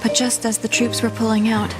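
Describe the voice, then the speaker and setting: An actress as a young female adventurer with a high, breathy voice. She is earnest and haunted in the manner of game drama, with loud music swelling behind her. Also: flat delivery, a little fast, natural voice. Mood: haunted, sorrowful. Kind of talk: video game cutscene acting.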